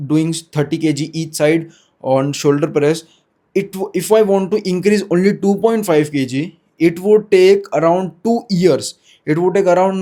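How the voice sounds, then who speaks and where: A man's voice: on the high side, mellow. Hesitant young man with an Indian accent. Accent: Indian English. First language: Hindi. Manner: hesitant, frequent restarts and self-corrections. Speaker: fitness vlogger. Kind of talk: unscripted talk to camera. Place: small room indoors.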